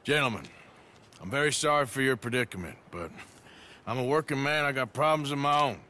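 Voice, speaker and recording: An actor playing a weary, gruff outlaw man in his thirties with a gravelly drawl. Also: mid-range voice, a little fast, sharp tone, close to an everyday voice. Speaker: outlaw protagonist.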